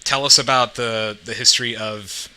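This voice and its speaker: Bright-toned laid-back male podcast host. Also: medium pitch, steady tone, normal speed, smooth. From Missouri. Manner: laid-back, laughs easily, quieter than his co-host. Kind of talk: casual podcast chat.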